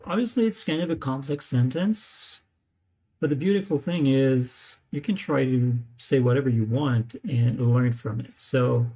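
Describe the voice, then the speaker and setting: A man talking casually during a live screen-share, thinking aloud with many pauses and fillers as he tries out words. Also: mid-range voice, dark tone, poor audio. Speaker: language learner streaming live.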